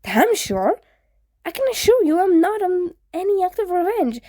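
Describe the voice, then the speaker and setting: A woman voicing a friendly, excitable teenage boy ghost in a story, with eager, emphatic, casual speech. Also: very high-pitched, normal speed, dry recording, studio quality, voice pushed up. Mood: assured, emphatic.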